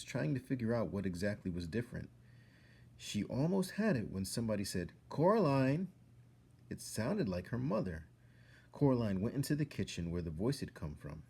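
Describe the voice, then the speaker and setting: A man reading a children's story aloud as a storyteller, expressively and with dramatic pauses. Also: low voice, vocal fry, dark tone, slight background noise. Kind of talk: narrated story read-aloud.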